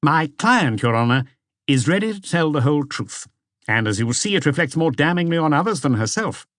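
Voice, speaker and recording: A self-assured defense lawyer in a detective audiobook, acted by a man. He speaks formally, like an orator making his case. The pitch is raised and lively, and the sound dry. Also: brisk, dry recording. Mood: solemn, self-assured.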